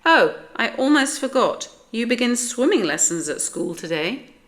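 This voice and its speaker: Woman as a brisk, kindly mother cat. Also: a little high, big pitch swings, fairly bright tone, pitched above the performer's own voice. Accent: British.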